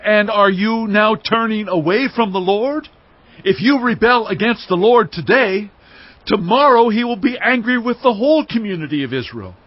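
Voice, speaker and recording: A stern male priest delivers a rebuke in a scripture drama. The voice is pitched high, forceful and accusing, with formal oratory. The sound is a little muffled. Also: animated, moderate pace, no echo. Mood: stern reproach. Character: stern priestly envoy rebuking rebellious tribesmen.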